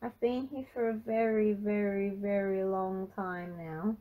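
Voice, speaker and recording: A woman's voice: medium pitch, sing-song, unhurried, phone-quality sound, voice pushed up. A woman playing a bored, cheeky young boy. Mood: pleading.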